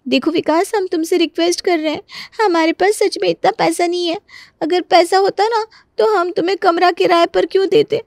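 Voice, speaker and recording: A woman voicing an indignant, sharp-tongued married landlady who scolds and exclaims in a comic story. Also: very high voice, steady tone, brisk, dry recording, clean studio sound. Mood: flustered. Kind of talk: Hindi comic story dramatization.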